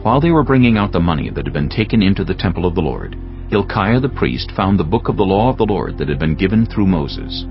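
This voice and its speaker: Deep, gravelly male scripture narrator. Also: big pitch swings. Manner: solemn, deliberate phrasing. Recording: slightly dull audio with music bed.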